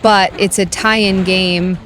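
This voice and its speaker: A woman's voice: mid-range voice, little pitch movement, takes it slowly, a bit bright. A woman reading scripted review narration as a video game reviewer, with music behind her.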